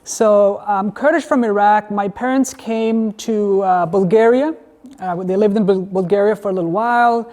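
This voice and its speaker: A man's voice: high-pitched, normal speed, a little mellow. A man from Denmark giving a university lecture in a conversational way, often asking the audience questions. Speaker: guest lecturer. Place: lecture hall. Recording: reverberant lecture hall.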